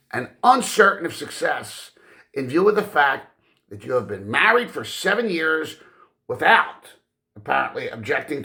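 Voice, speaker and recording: Man acting a ponderous Victorian solicitor, highly animated. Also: exaggerated voice. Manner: formal, wordy legalese delivered with weighty pauses. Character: portly, pedantic Victorian gentleman solicitor, ponderous and condescending.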